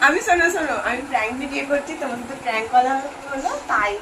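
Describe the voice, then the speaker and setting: A woman's voice: sits high, very fast. A young woman chatting casually as a vlogger making a prank phone call. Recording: distant mic, clear vehicle-like background noise.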